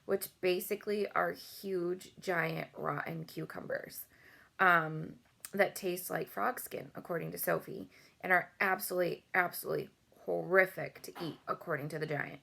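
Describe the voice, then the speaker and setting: A woman's voice: fairly low pitch, steady tone, normal speed, creaky, pushed and bright. A woman reading a children's storybook aloud as host, with casual asides.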